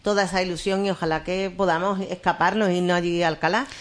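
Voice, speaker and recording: A woman's voice: lowish voice, steady tone, fast talker. A woman radio host asking friendly, rambling questions in an interview.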